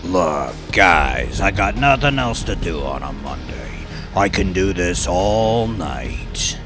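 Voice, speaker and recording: A man's voice: low-pitched, sing-song, takes it slowly, exaggerated voice. A man voicing a cocky, menacing gangster in an audio drama, taunting and showy, with music behind him. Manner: taunting, showy, foul-mouthed interrogation. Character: cocky, menacing male gangster, sadistically amused.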